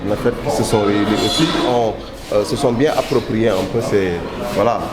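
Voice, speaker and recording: A man's voice: on the low side, steady tone, a little fast, loud music behind the voice. A man, an interviewer putting brief prompting questions. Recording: roomy, noisy, from off-mic.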